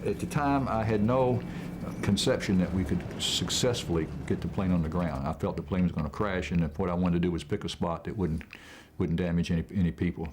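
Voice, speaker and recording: A middle-aged man, an airline pilot, recounting his experience in an interview in a measured, matter-of-fact way. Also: low voice, fairly even intonation, background noise.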